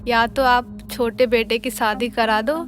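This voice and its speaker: A woman voicing a defiant, sharp-tongued young daughter-in-law in a cartoon family drama, arguing and demanding. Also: fairly high pitch, flat delivery, measured pace, faint music behind, lightly acted. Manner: argumentative, demanding.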